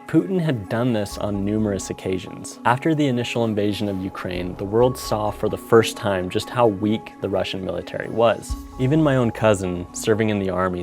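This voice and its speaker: A man giving steady, explanatory scripted narration for a documentary. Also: lowish voice. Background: faint background music.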